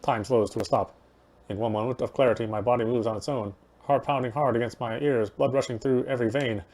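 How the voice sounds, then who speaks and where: A man's voice: mid-range voice, fairly even intonation, quick pace, darkish tone, a little hiss or hum. A man reading a game's story aloud as dramatic narration, as a player would. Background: game music.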